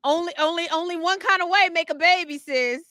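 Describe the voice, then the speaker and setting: A woman in casual political chat, sassy, emphatic and incredulous. Her voice is high and bright. The recording is dry and close. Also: a little fast, dry recording. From Maryland, United States. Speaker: co-host.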